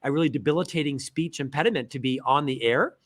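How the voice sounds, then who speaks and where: A middle-aged man from Central Florida, a guest author in a casual interview, telling lively stories with self-deprecating humor. He has a stutter. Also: on the high side, fast. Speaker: guest, author and podcast host.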